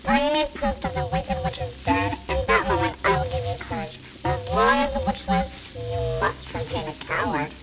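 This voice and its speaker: A woman playing an imperious wizard in the form of a fireball in an audio play, booming slowly and commandingly. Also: sing-song, loud music behind the voice, poor audio. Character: great and terrible wizard, imperious ball of fire. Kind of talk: stage-style audio play acting.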